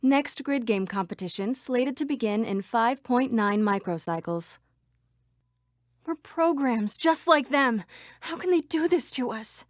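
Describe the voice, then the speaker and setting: A video game computer program gal, kinda high voice, really dry recording. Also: female voice, lively intonation, unhurried, dry recording. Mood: formal, announcing, then anxious, pleading. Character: female computer program citizen, wary, earnest, anxious.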